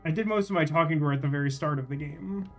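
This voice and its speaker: A man's voice: a little high, racing, faint music behind. A man doing casual livestream game commentary, thinking aloud in a wry, rambling way as he chats with viewers.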